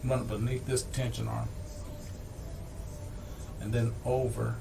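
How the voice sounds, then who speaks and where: A man casually walking through how to use a piece of home audio equipment, unhurried, with lots of fillers and restarts. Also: mid-range voice, level tone, gravelly. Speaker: equipment demonstrator. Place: home room with audio gear. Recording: distant mic with audible background noise.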